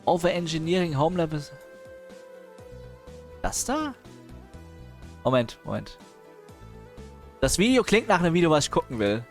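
A man's voice: a little high, big pitch swings, unhurried, long pauses, soft background music. A man streaming casual reaction commentary on videos, impatient and sarcastic, with chuckling asides.

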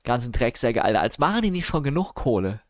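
A man's voice: medium pitch, sing-song, quick pace, no echo, studio quality. A man chatting casually as a live streamer, mixing in English gamer slang and grumbling asides. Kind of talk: casual livestream chat, reacting to news and viewers.

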